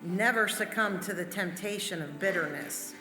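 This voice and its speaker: A woman's voice: mid-range voice, moderate pace. A woman reading quotations aloud as a church member. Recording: echoey hall, music underneath. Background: music.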